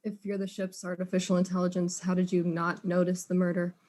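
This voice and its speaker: A woman's voice: lowish voice, monotone, a little fast, darkish tone, natural voice. A woman playing a polite, probing detective who questions suspects in a mystery drama. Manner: courteous, inquisitive. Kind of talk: acted mystery audio drama.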